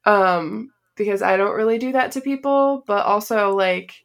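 A woman's voice: medium pitch, normal speed. A woman co-hosting a podcast in casual, informal chat, with loose phrasing sprinkled with "like". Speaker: podcast co-host.

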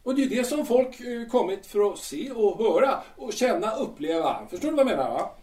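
A man's voice: sits high, sing-song, darkish tone, distant mic. A man playing a boastful vain stage star. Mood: lecturing. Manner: boastful and rambling, full of hesitations. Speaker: star singer-actor Peter. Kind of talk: audio drama acting. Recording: echoey room, voice often off-mic.

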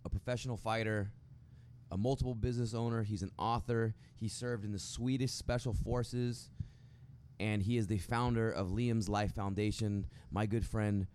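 Low-voiced male podcast host, noisy background. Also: fairly even intonation, moderate pace, darkish tone. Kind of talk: casual podcast interview. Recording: clear background noise.

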